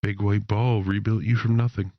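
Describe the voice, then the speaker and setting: A man playing an exasperated, hectoring critic, gravelly and urgent, in game lore acting. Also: lowish voice, flat delivery, moderate pace, dry recording, lightly acted. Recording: dull lo-fi sound.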